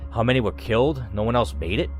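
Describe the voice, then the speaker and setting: A man's voice: mid-range voice, fast, lightly acted. A man reads an earnest woman scientist in a horror game. She is timid and anxious, full of questions, with music playing behind.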